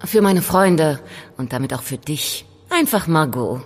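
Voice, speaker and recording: A woman's voice: low-pitched, expressive, a little slow, slightly rough, exaggerated voice. A woman playing an elegant, composed young informant in an audio drama, explaining things in a teasing, didactic way. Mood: welcoming, composed. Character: elegant, self-assured young woman, calm knowing informant. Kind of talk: German audio drama acting.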